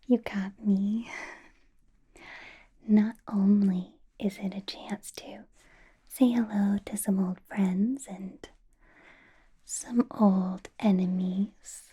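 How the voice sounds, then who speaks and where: Woman acting a slow, whispering, possessive vampire boss. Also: mid-range voice, fairly even intonation, mellow, heavily acted. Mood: coaxing, adoring. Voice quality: breathy whisper. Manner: slow, deliberate, coaxing, teasing. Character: possessive, doting female vampire boss, playfully menacing. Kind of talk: whispered ASMR roleplay, personal attention.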